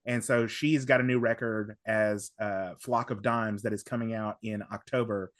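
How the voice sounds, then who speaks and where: A man talking casually as a podcast guest, giving recommendations in an effusive, halting way with emphatic superlatives. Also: lowish voice, steady tone, fairly bright tone, dry recording, clean studio sound.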